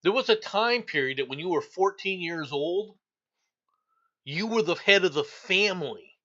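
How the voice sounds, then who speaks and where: A man's voice: sits high, lively intonation, moderate pace, sharp tone, lo-fi sound. A male talk show host giving blunt, opinionated news commentary, repeating himself for emphasis.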